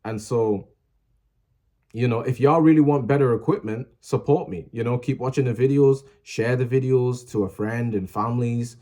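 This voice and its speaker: A man's voice: mid-range voice, moderate pace, mellow. A young man who makes music videos talks to his viewers in a casual, rambling way, full of fillers and restarts, earnestly urging them to post what they love. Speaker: small music channel creator. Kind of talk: casual motivational vlog talk.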